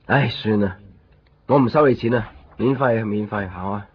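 A man's voice: lowish voice, moderate pace, slight background noise, natural voice. A man with a Hong Kong Cantonese accent playing a weary, short-tempered photocopy shop keeper in a radio drama. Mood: resigned. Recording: muffled 1990s radio drama, music behind. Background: music.

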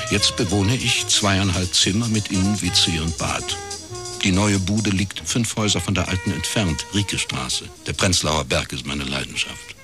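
An actor in his forties playing a wry, world-weary East German private detective in a radio crime drama, talking in a laconic, ironic way. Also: deep voice. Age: 40s. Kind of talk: scripted radio crime drama narration and dialogue.

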